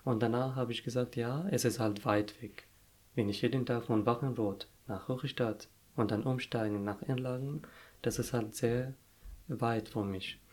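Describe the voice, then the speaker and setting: A young man whose first language is Arabic, telling his story in an interview, pausing often to search for words. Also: medium pitch, steady tone, a little mellow. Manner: halting, searching for words, frequent fillers. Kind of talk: casual personal story.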